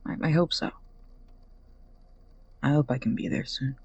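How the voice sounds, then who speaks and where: A man's voice: medium pitch, fairly even intonation, a little slow, slightly rough, no room sound, highs cut off. A man playing an earnest, hopeful young friend leaving a hesitant answering-machine message in an audio drama. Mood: wistful, sincere. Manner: hesitant, sincere, rambling.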